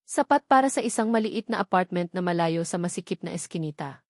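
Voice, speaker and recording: A woman's voice: on the low side, lively intonation, fairly quick, a little mellow, no room sound, studio quality. A woman reading scripted, dramatic love-story narration as the storyteller.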